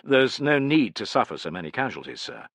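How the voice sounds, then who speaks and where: A man voicing a seasoned, gruff Roman centurion in an audiobook, blunt but dutiful, with terse restraint. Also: medium pitch, sing-song, a little fast, a bit bright, no room sound, voice pushed up. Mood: restrained frustration. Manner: terse, restrained, respectful to superiors. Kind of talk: dramatic audiobook character acting.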